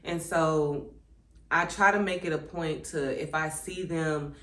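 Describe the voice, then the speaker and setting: Woman in her 30s chatting to camera, low voice, lots of ums and likes, roomy echo. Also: fairly even intonation, takes it slowly, fairly bright tone. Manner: conversational, reflective, many "um" and "like" asides. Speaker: channel host. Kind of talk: casual reflective vlog, reading from a workbook. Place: indoors at home, seated. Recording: echoey room.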